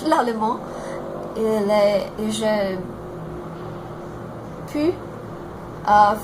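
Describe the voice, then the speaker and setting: Brazilian girl, 20s, talks real slow in a learned language, stops and restarts a lot, traffic noise behind. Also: mid-range voice. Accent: Brazilian Portuguese. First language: Portuguese. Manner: halting, searching for words, many fillers and restarts. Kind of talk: self-introduction video in a learned language.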